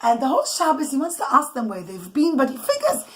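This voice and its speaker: A mother telling an informal, improvised religious bedtime story in an animated, conversational way, mixing in Hebrew and Yiddish terms. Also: high voice, fast. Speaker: mother telling bedtime stories to children and listeners. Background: noise, clicks, small children nearby.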